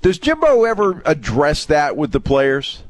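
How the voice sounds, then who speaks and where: Slightly high-voiced male sports host, dry sound. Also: wildly swooping pitch, measured pace, no echo. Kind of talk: casual interview questioning.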